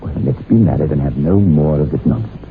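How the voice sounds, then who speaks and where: An actor playing an ardent, insistent suitor, pressing and impatient, in an old-time radio drama. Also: male voice, a bass voice, expressive, brisk, a little hiss or hum. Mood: urgent, pleading. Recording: dull vintage radio recording with music.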